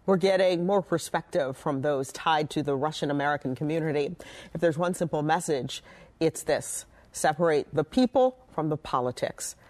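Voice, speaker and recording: A woman news anchor reading scripted local news in an even, measured way. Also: low-pitched.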